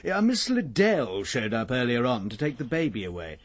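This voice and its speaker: An actor playing an overworked local police detective in a radio drama, with an informal, sardonic way of talking. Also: male voice, medium pitch, huge pitch swings, moderate pace. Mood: wry, chatty.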